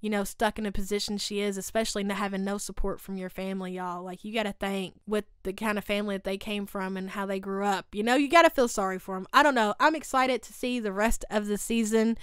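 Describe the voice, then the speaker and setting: A woman's voice: mid-range voice, fairly even intonation, fast talker, hardly any pauses, a bit bright, clear, resonant tone, no room sound, studio quality. A woman, recapping a TV show in a casual, gossipy way on her channel. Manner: chatty and gossipy, lots of "like" and "y'all". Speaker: YouTube recap host. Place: home studio. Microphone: desk mic beside her.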